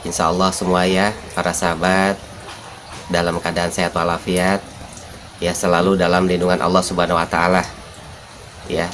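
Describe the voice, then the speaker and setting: A man hosting a casual vlog, his voice hoarse and nasal from the flu as he talks to his viewers. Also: low voice, a bit bright, a little hiss or hum. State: congested with flu. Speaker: vlog host.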